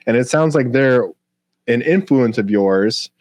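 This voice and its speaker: A man's voice: mid-range voice, lively intonation, unhurried, darkish tone. A man co-hosting a podcast, asking rambling, informal questions in casual conversation. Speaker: podcast co-host interviewing. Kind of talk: casual chat.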